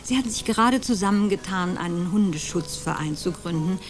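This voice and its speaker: A composed, ironic woman who lays out her case with quiet menace, played by an actress. Her voice sits a little low and stays calm and precise. Music runs under this radio play. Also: a little fast, natural voice. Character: cool, ironic woman accusing two men.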